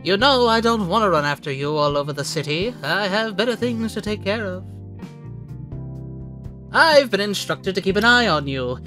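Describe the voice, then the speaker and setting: A man's voice: sits high, expressive, moderate pace, sharp tone, soft background music. A man playing a suave, menacing radio demon in a cartoon dub, talking with theatrical, condescending politeness. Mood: stern, condescending. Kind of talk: cartoon comic dub acting.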